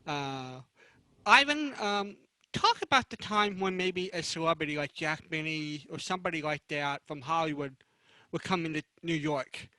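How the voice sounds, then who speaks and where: Male moderator, bright and slightly high, close dry sound, informal halting interview questions. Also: expressive, no echo, poor audio. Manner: halting, repetitive phrasing. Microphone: video call.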